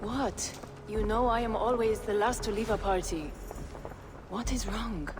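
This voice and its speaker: A woman's voice: medium pitch, measured pace, noisy background, natural voice. An actress playing a blunt, sardonic noblewoman in a video game, speaking in formal phrases. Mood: sardonic, urging. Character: blunt, sardonic noblewoman scolding a Viking king. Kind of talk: dramatic video game acting. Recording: long hall reverb, music behind.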